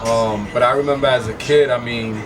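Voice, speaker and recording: A man's voice: medium pitch, steady tone, a little fast, recorded from a distance, music in the background. A middle-aged man from Hartford, Connecticut, speaking as an interview guest in an easygoing, reminiscing way with many fillers. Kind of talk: casual interview.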